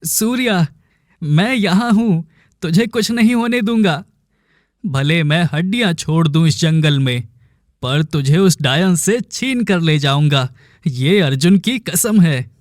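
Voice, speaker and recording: A man's voice: a little high, no echo, studio quality. A man playing an earnest, determined young villager in a cartoon story, a devoted brother speaking in dramatic vows. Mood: defiant, vowing. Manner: dramatic, vowing, deliberate.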